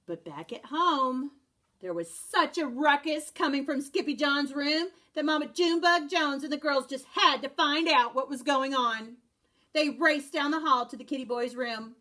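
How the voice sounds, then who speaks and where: A woman, a first grade teacher, reading a children's picture book aloud, doing lively voices and dropping to hushed whispers. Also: high-pitched, moderate pace. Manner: animated storyteller voices, drops to hushed whispers.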